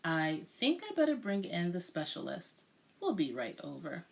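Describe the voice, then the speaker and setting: A woman reading a children's storybook as a brisk, puzzled male doctor, emphatic and matter-of-fact. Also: lowish voice, wildly swooping pitch, gravelly, voice pushed down, full character voice. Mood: businesslike. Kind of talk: children's storybook read-aloud.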